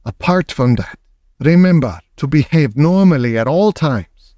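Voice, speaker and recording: Imperious female witch leader, read by a male narrator; shrill, lively, contemptuous, commanding. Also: measured pace, no echo, highs cut off. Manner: bossy, barks orders, contemptuous. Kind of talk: audiobook character voice.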